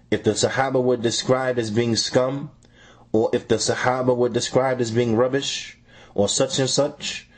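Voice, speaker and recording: A man reading out a translated religious lecture in a measured way as a translator. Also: medium pitch. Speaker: translator reading an Islamic scholar's answers.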